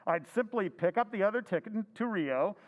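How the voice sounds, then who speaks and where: A man's voice: high-pitched, expressive, normal speed, rough recording. A man playing a jittery 1950s husband. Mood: urgent. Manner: nervous, rising to panic. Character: Henry, anxious middle-aged husband, jittery. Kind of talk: old-time radio drama recreation.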